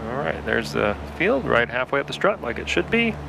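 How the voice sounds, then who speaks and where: A man's voice: mid-range voice, sing-song, fairly quick, vocal fry, background noise. A man from Utah giving easygoing running commentary as he flies a home-built flight simulator, talking himself through cockpit checklists. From Salt Lake City, Utah. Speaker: home flight-sim pilot. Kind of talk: casual running commentary while flying.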